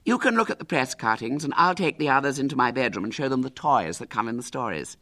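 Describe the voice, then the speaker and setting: A man's voice: mid-range voice, expressive, quick pace, bright, forceful tone. A reader voicing a spoiled, self-important famous little boy with a haughty manner. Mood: bossy.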